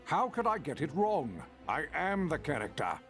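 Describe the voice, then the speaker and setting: Game mentor: stern, precise, imperious, mid-pitched with very animated intonation over faint music. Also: male voice, normal speed, exaggerated voice. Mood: irritated, stern. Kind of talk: video game character acting.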